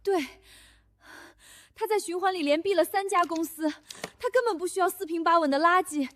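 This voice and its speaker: Bold, high-voiced young office woman, acted. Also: moderate pace, fairly bright tone, slight background noise. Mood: agitated. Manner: blunt, combative, persuasive. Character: bold, defiant young female office employee. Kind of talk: animated short-drama dialogue.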